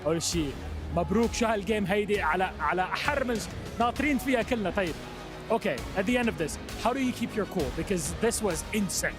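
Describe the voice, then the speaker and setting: A man interviewing a winning player after a match, hyped and quick. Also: high-pitched, animated, over music. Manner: hyped, fast talking.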